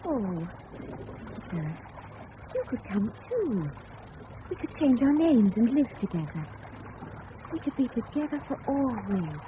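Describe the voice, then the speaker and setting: Casting: desperate English suburban murderess, high voice, halting melodramatic delivery, noisy vintage radio sound. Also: female voice, sing-song. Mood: desperate, pleading. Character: desperate, overwrought married murderess in 1930s suburbia. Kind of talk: radio drama acting. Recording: muffled, noisy old radio recording.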